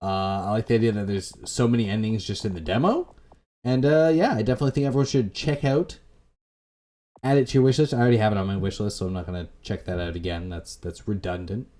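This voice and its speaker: A man's voice: fairly low pitch, steady tone, quick pace, mellow. A man giving casual, laid-back commentary as he hosts a video game playthrough. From Canada. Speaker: gaming video host. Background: atmospheric game music.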